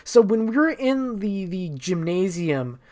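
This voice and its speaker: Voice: medium pitch, big pitch swings, measured pace, background noise. A speaker giving a casual, improvised story recap as a gaming streamer, rambling and irreverent with plenty of swearing.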